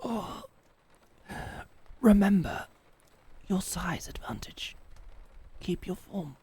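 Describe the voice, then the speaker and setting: A woman's voice: lowish voice, animated, very slow, warm tone, breathy edge, in a whisper, exaggerated voice. A woman playing a sardonic, defiant lady knight in a medieval fantasy audio drama, with theatrical, formal phrasing. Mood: strained, tender. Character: defiant, sardonic lady knight protecting her princess lover.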